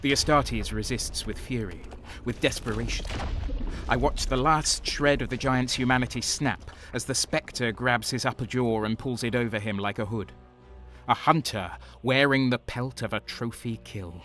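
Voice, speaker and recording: An actor playing a haunted, horror-struck soldier who narrates his own nightmare in an intense, halting, theatrical audio drama style. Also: medium pitch, bright, forceful tone, soft background music, natural voice. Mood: gripped horror.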